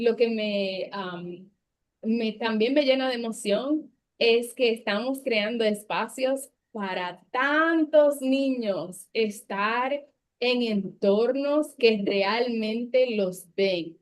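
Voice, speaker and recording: A woman moderating a panel, speaking in a soft, thoughtful way. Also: fairly high pitch.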